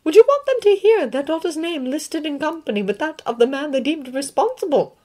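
A woman's voice: lively intonation, dark tone. Woman putting on a stern older professor. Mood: somber. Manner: low, somber and measured. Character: stern older female professor, grave and firm.

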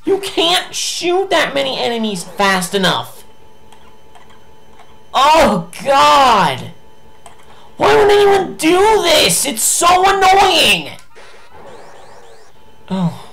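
A young man giving angry, swearing commentary while playing a video game, his voice going hoarse. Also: extremely high pitch, huge pitch swings, very slow, long pauses, fairly bright tone. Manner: ranting, swearing, frustrated outbursts. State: losing his voice. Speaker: gameplay streamer.

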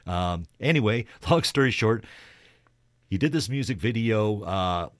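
Male radio co-host, deep voice, dry close sound, informal music talk with some hesitancy. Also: animated, unhurried, dry recording. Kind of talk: casual music chat.

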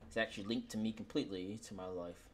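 A man's voice: on the low side, fairly even intonation, quick pace, crowd noise. A young man chatting casually in an improvised roleplay, teasing and often repeating his phrases. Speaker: player in a Minecraft roleplay series.

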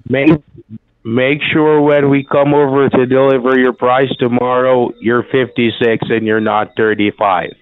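A man on a phone call delivering a formal, scripted prize pitch in an overly reassuring manner. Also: level tone, a little slow, clear, resonant tone, no echo. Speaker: phone scammer. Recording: tinny phone line.

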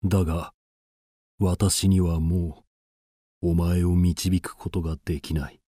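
An actor playing a grave poet and wise mentor, solemn and measured, in a radio drama. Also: male voice, low voice, flat delivery, mellow, no room sound, studio quality. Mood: grave, instructive.

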